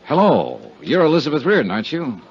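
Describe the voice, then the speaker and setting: An actor playing a world-weary insurance investigator in a 1950s radio drama, blunt, wry and clipped. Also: male voice, on the high side, huge pitch swings, fast talker, slight background noise. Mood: smoothly probing. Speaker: lead actor. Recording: dull 1950s radio recording, music behind.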